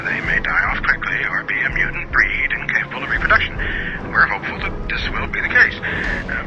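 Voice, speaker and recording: An actor playing a cautious, matter-of-fact pathologist being interviewed in a radio drama, official and hesitant. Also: male voice, on the low side. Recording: muffled radio sound, very loud noise.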